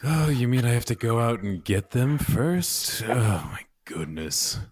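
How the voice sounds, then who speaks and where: A man's voice: mid-range voice, very animated, measured pace, breathy edge. A man chatting and improvising casually as a player in a tabletop roleplaying game.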